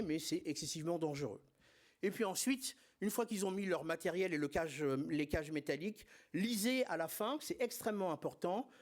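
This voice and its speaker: Man presenting at a medical congress. High-pitched, emphatic teacher, hesitant in places. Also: a little mellow. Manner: didactic, emphatic, frequent hesitations and filler words. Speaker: spine surgeon presenting at a congress. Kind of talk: conference lecture.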